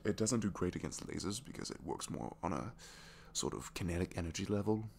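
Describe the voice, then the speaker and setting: A man's voice: low-pitched, creaky, a little hiss or hum, heavily acted. A man acting out a bashful, flirtatious supervillain with a good heart in an intimate ASMR roleplay, speaking hesitantly with sheepish stammers.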